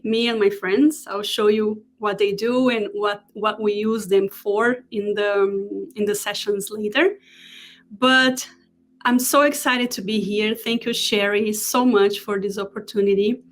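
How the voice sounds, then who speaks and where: A woman in her forties from Brazil with a Brazilian Portuguese accent, giving an earnest live online talk as a holistic therapist and pausing often to check her notes. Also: mid-range voice, steady tone, a little hiss or hum.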